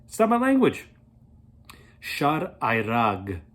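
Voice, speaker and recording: A man in his thirties reviewing a product in a casual, playful way, rambling as he thinks aloud, with a slightly husky voice. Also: medium pitch, huge pitch swings, slow pace, a bit bright, soft background music. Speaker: beer reviewer, vlog host.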